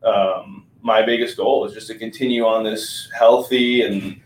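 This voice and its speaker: A man's voice: lowish voice, steady tone, measured pace, slight background noise. A man in his twenties, a guest athlete, speaking earnestly and reflectively in a candid podcast chat, with lots of fillers. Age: 20s. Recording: echoey room.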